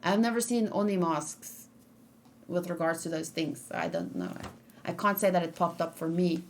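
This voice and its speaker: A woman's voice: on the low side, lively intonation, normal speed, slight background noise. Chatty woman streamer thinking aloud. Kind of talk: casual live-stream chat, reacting and reading chat.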